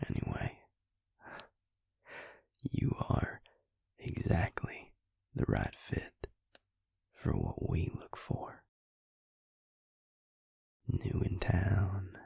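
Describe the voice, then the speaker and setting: A man playing a devious, smug male vampire in an intimate audio roleplay, taunting his victim slowly in a breathy, gravelly whisper. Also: sits low, dark tone, no echo, clean studio sound, exaggerated voice. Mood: smug, menacing. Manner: slow, taunting, self-satisfied.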